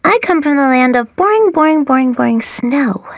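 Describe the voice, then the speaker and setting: A woman's voice: sits high, animated, moderate pace, dry recording, studio quality. An actress playing a childlike, adventurous girl in a fantasy video game. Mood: bored, wistful.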